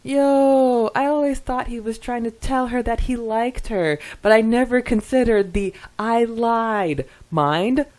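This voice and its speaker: A woman co-hosting a podcast in casual, chatty style, reading comments with hesitant pauses and giggles. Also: on the high side, darkish tone. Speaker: podcast co-host.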